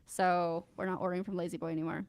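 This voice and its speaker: A woman's voice: on the low side, fast talker, no room sound. Chatty woman telling a story. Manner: animated storyteller, acts out quotes. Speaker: podcast guest. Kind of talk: casual chat.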